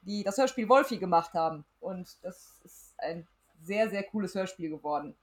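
A woman's voice: fairly low pitch, animated, normal speed. A woman from Germany talking casually as a podcaster.

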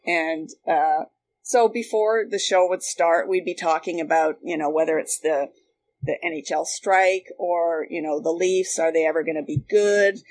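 A woman with a Canadian accent chatting casually and telling stories in an animated way, as a guest looking back. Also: fairly low pitch, moderate pace. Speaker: guest, former radio worker. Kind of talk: casual reminiscing conversation. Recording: noticeable background noise.

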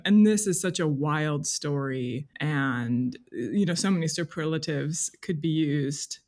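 Female interview guest, casual conversational delivery, candid, thoughtful, slightly hesitant phrasing. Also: sits low. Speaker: guest, freelance magazine journalist.

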